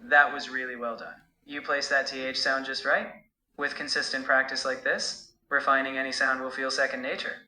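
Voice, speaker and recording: Bright-toned AI tutor voice, male. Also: mid-range voice, little pitch movement, echoey room, rough recording. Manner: warm, measured, coaching. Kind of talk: encouraging spoken feedback.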